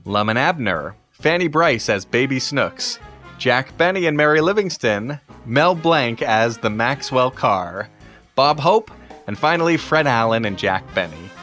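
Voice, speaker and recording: A man's voice: medium pitch, expressive, unhurried, a bit bright. A man presenting a scripted introduction. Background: music.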